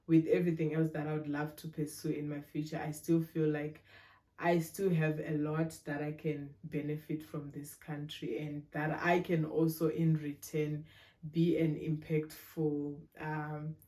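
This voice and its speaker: A woman in her twenties from Zimbabwe answers interview questions in a low, warm voice. Her pitch stays fairly even, and she talks in a thoughtful, measured way with frequent fillers. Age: 20s. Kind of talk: relaxed conversational interview answers.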